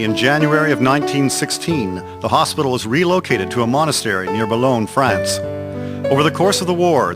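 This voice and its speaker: A man narrating a scripted historical radio drama in a formal, measured storytelling manner. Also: medium pitch. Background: prominent plucked guitar music.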